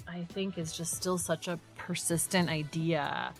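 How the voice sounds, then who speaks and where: Female podcast co-host, fairly low voice, chatty and exclamatory with a dry, irreverent edge. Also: fairly even intonation, a little slow, soft background music. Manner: irreverent, sardonic. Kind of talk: casual chat.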